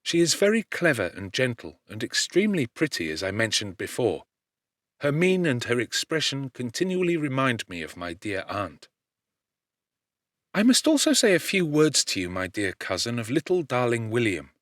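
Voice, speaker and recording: A man narrating an audiobook with an expressive, measured storytelling delivery, recorded close to a studio microphone. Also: medium pitch, dry recording. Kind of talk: scripted literary narration.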